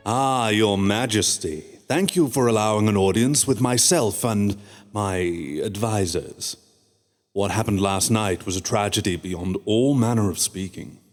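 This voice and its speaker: A man playing a scheming, oily male pony courtier in a fan-made radio drama, speaking in a smooth, fawning and sly way. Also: fairly low pitch, unhurried, faint music behind, lightly acted. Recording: long hall reverb.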